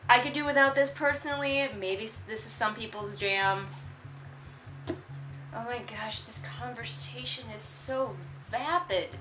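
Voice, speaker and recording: A woman's voice: on the high side, measured pace, echoey room, over music. A woman giving casual comedic book commentary in a sarcastic, exasperated, conversational way. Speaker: host of a book read-along.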